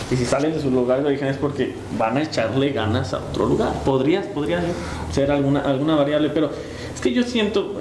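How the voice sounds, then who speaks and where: A Mexican man from Jalisco argues on a debate panel, restating his conclusions firmly. His voice sits a bit high. The room echoes, and clear background noise runs under him. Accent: Mexican Spanish. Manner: firm, repeats key points. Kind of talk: debate argument.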